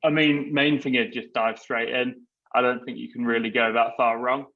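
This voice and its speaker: A man's voice: medium pitch, little pitch movement, normal speed, lo-fi sound. A man giving a plain-spoken testimonial for a service he recommends.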